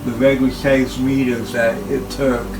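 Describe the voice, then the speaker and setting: A man giving an informal, halting talk about equipment as a hobbyist presenter, speaking in short phrases. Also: medium pitch, level tone, over music. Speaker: hobbyist presenter showing his tape machines.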